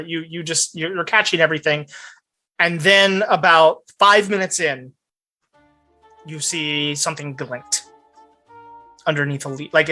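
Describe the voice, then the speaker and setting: A man's voice: a little high, sharp tone. A man narrating a tabletop roleplaying game as game master, improvising descriptive scenes.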